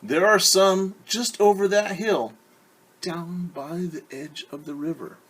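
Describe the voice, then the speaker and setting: A man voicing a sly, smooth-talking hungry wolf who speaks slowly and with oily politeness. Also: high voice, a bit bright, voice pushed up, exaggerated voice. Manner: slow, oily and menacingly polite.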